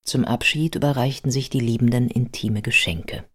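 A woman narrating a radio play from a script in a measured, factual storytelling manner. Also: extremely low pitch, breathy edge, faint music behind.

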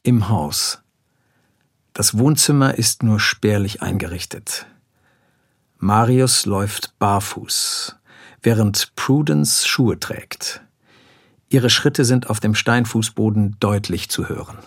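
A man's voice: on the low side, slow, slightly rough. A man narrating radio drama scenes from a script in a terse, matter-of-fact way.

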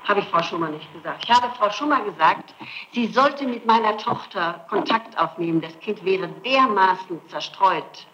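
A noisy archival tape from the 1960s carries a middle-aged woman under interrogation. She speaks at a medium pitch, insistently, halting and repeating herself as she defends her account. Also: expressive, a bit bright, lo-fi sound. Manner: insistent, halting denials with repetitions. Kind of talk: answering questions in a recorded police interrogation.

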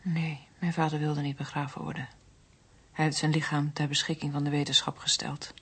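An actress playing a stunned, grieving daughter in a radio drama, with a breathy voice. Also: female voice, low voice, level tone, normal speed, fairly bright tone, heavily acted. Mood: numb grief.

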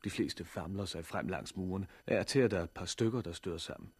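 An actor in a 1960s radio drama playing a sober male survivor who tells his story in a measured, serious way. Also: low voice, darkish tone, slightly rough, no echo. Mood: calm, helpful. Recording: slightly noisy old radio recording.

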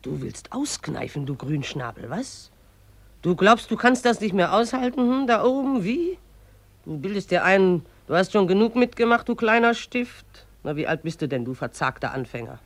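An actress in a radio play voicing the river itself as a gruff, mocking old woman who scolds in an earthy, derisive way. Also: mid-range voice, very animated, normal speed, dry recording. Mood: scornful. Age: older.

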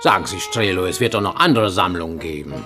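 An actor playing an imperious, impatient English gentleman collector in a radio drama, curt and commanding, with a husky voice. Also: deep voice, expressive, fast, over music.

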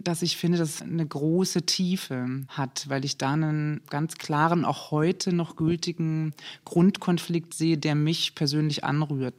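Woman director in an interview, pretty even tone, thinking out loud. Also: low-pitched. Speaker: theatre director being interviewed. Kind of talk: spontaneous interview reflection.

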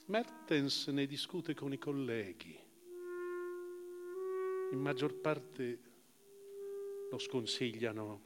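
A man giving a dramatic literary reading as a stage actor, with deliberate phrasing and weighted pauses. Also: mid-range voice. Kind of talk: dramatic literary reading, first-person narration. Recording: long hall reverb of a live performance.

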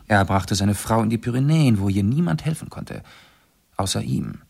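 An actor playing an urbane, self-assured aristocratic amateur sleuth in a German radio play, explaining precisely and theatrically. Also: male voice, lowish voice, expressive, moderate pace, vocal fry, darkish tone, husky, heavily acted. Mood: explaining gravely.

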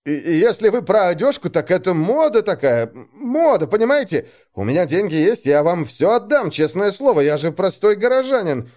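Audiobook role: whimpering male coward acted by a man, high squeaky trembling voice, begging. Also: big pitch swings, moderate pace, hardly any pauses, a little mellow. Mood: frightened, protesting. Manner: pleading, stammering repetitions. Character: cornered man playing a whimpering, pleading coward.

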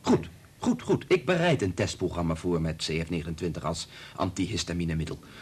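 An actor playing a principled, earnest doctor in a radio play, speaking firmly and deliberately. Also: male voice, sits low, lively intonation, husky. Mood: firm, indignant. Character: principled, earnest male doctor and family friend. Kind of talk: Dutch radio play acting.

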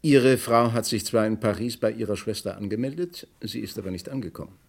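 An actor playing a probing, sceptical police inspector in a vintage crime radio play, with a dry, clipped way of talking. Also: male voice, lowish voice, a little fast, creaky.

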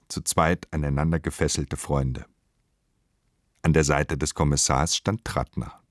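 A man's voice: low-pitched, dry recording, clean studio sound. A man reading scripted literary narration as the narrator of a radio play.

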